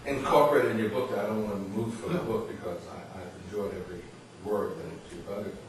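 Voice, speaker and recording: A man speaking reflectively in a group discussion, with halting, deliberate and rather formal phrasing. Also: on the low side, steady tone. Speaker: audience member at a book reading. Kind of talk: reflective discussion at a book talk. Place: indoor literary salon, far from mic. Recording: very echoey, distant, noisy room recording.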